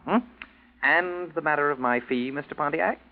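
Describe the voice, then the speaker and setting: An actor playing a slick, mercenary lawyer in a 1940s radio drama, glib and insinuating, on a thin old recording. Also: male voice, on the high side, normal speed, phone-quality sound, natural voice. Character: slick, mercenary male lawyer, brisk and knowing.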